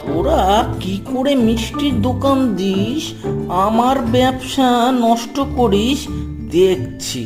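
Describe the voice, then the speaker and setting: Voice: mid-range voice, animated, slow. An actor voicing a jealous, scheming male village shopkeeper in a Bengali moral-story cartoon, indignant and challenging. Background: music.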